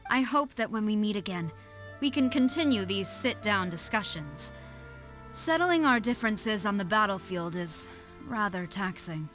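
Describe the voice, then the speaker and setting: Brief: woman playing a disciplined, formal general, firm orders, mid-pitched. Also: lively intonation, normal speed, a bit bright, faint music behind, lightly acted. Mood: stern. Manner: formal, commanding, clipped. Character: stern military general, proud and disciplined. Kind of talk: game voice acting.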